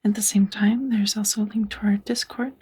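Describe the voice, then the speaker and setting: A woman who makes ASMR audio, addressing her listeners in a soft, whispery, breathy voice. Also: mid-range voice, steady tone, fairly quick.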